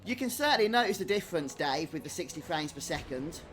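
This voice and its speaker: Higher-pitched man chatting on a game stream. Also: expressive, fast talker, a bit bright. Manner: conversational, replying to viewers. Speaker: gaming streamer and host. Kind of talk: casual live stream chat over gameplay. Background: video game music.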